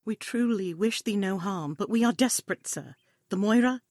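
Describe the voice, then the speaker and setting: A woman performs a bold heroine of a swashbuckling audio play. She is earnest and courtly, with old-fashioned thees and thous, heard dry and close at a middle pitch. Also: darkish tone, no echo, lightly acted. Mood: firm, pleading. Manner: earnest, deliberate archaic speech.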